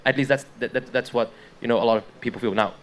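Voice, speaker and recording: A man's voice: medium pitch, quick pace, slight background noise. A man from the Netherlands speaking on a conference panel, hesitant, with many restarts and fillers.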